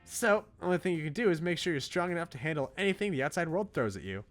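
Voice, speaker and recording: A man voicing a blunt, protective, teasing young woman coach in a visual novel, in a brisk, informal pep-talk manner. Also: on the high side, lively intonation, a bit bright, soft background music, voice pushed up. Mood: earnest, determined.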